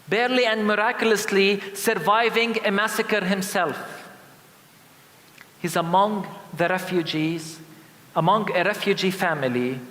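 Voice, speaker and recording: Man, pastor's sermon, higher-pitched voice, solemn emphatic delivery, long church reverb. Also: fairly bright tone. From Palestine. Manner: solemn, emphatic, deliberate repeated phrasing. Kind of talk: sermon, liturgy of lament. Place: large indoor church. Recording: reverberant church hall sound.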